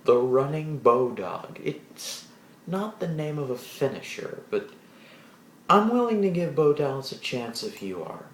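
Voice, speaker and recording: A man giving a casual solo wrestling show review, rambling with restarts and animated asides in a deep voice. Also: a little slow, reverberant, a little hiss or hum.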